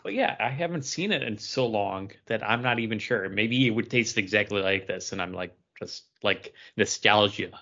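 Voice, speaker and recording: A man's voice: fairly low pitch, lively intonation, normal speed, hardly any pauses, pushed and bright, lo-fi sound. A man in casual conversation as a podcast co-host. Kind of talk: casual chat.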